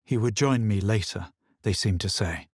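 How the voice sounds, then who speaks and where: A haughty young adventurer from a fantasy video game. His voice is on the low side with a slightly husky grain, measured and dryly superior, recorded very dry and close. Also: darkish tone, no echo. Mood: brooding, grave. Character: haughty young male fantasy adventurer, self-assured, brooding. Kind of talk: video game voice acting, dramatic narration and remarks.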